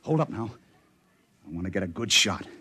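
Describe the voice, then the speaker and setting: An actor playing a tough, hot-tempered frontier father in a 1950s radio drama, barking gruff orders. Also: low-pitched, lively intonation, fairly quick, bright, forceful tone, heavily acted. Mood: tense. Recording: hissy old radio transcription.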